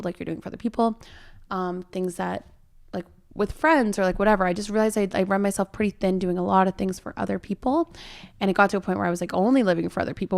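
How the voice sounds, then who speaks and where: A young Canadian woman co-hosting a podcast in casual, chatty, self-deprecating talk with a creaky voice. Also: mid-range voice, a little mellow. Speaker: podcast co-host.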